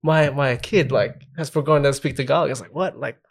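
Male, gravelly creak, medium pitch, informal and candid podcast conversation. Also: a little fast. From Australia. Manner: informal, candid, frequently checks with "right" and "like". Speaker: podcast participant. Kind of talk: casual chat.

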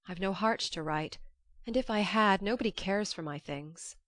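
A woman's voice: medium pitch, normal speed, a bit bright, no echo, natural voice. A woman voicing an earnest, self-doubting young woman in an audiobook, with an emphatic, plainspoken delivery. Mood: despondent. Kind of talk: audiobook character reading.

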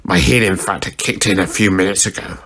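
A man voicing a gruff, sardonic mutant brawler in a superhero story, with a growling, curt delivery. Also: low voice, brisk, fairly bright tone, voice pushed down, full character voice. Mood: grudging. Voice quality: gravelly, growling.

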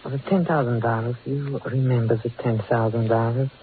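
A man playing an oily blackmailer, soft-spoken and fawning with polite menace, in 1940s radio style. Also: mid-range voice, steady tone, fairly quick, close to an everyday voice. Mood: meek, insinuating. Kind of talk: 1940s radio villain acting. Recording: tinny, hissy old radio recording.

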